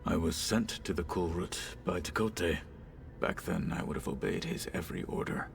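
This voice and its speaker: Deep gravelly stoic warrior. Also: male voice, soft background music. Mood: solemn, guarded. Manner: formal, measured, solemn speech. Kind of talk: dramatic video game acting.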